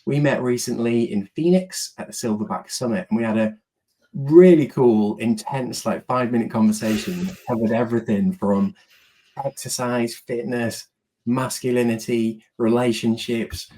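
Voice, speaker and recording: A man hosting a podcast interview, upbeat and quick with short affirmations. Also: medium pitch, mellow, low-quality recording. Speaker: podcast host.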